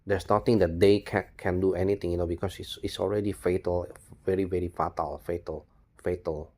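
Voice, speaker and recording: Male vlogger, non-native English speaker, deep relaxed voice, unscripted rambling with frequent repeats and restarts. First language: not English. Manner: repetitive, halting, restarts words and phrases often. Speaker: video blogger. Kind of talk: spontaneous rambling monologue.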